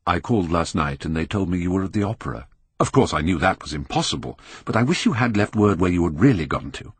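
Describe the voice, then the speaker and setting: A man's voice: deep voice, lively intonation, quick pace, breathy edge, no echo. A man voicing an earnest painter in an audiobook, British, grave and pained as he pleads with a friend. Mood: agitated, grave. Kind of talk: audiobook character acting.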